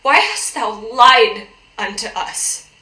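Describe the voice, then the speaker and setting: A woman's voice: a little high, lively intonation, sharp tone, echoey room, exaggerated voice. A woman reading an earnest, stilted scripture-drama part for an audition self-tape. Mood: accusing. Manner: slow, stilted, overly earnest. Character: stern scriptural accuser, formal old speech.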